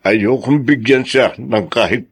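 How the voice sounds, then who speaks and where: A man with a Filipino accent playing a gentle, hurt, hesitant elderly father-in-law in a radio drama. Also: mid-range voice, measured pace, breathy edge. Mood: hesitant, wistful. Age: older. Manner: hesitant, humble, soft-hearted.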